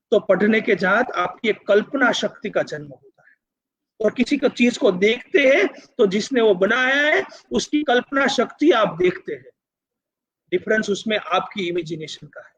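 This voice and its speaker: A man's voice: high-pitched, expressive, measured pace, fairly bright tone, slightly rough. A man with a Gujarati accent giving an explanatory, persuasive lecture, emphatic, repeating phrases and mixing in English terms. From Gujarat, India. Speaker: university vice-chancellor, speaker.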